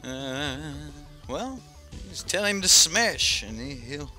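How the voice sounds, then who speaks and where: A man's voice: fairly high pitch, big pitch swings, slow, pushed and bright, faint music behind. A young man casually commentating his own card game on a live stream, thinking aloud with lots of fillers and restarts.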